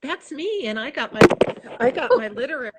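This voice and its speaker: A woman's voice: sits high, animated, brisk, very noisy. A woman in her sixties with a Chicago accent, an author talking about her book in an effusive, rambling interview over a video call. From Chicago, USA. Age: 60s. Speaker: author guest. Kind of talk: casual interview chat.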